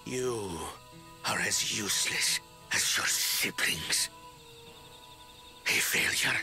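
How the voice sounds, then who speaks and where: A man's voice: fairly low pitch, slow, bright, forceful tone, soft background music, exaggerated voice. An actor playing a domineering, scornful father in a video game, with archaic, theatrical speech that is grandiose and cruel. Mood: cold contempt. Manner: archaic, theatrical speech swinging from silky to raging.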